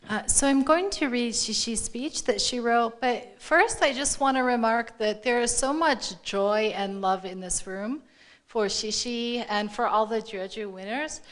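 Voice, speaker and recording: A woman reading a formal award-ceremony speech aloud in a measured, deliberate way. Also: on the high side, a bit bright. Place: live event room before an audience.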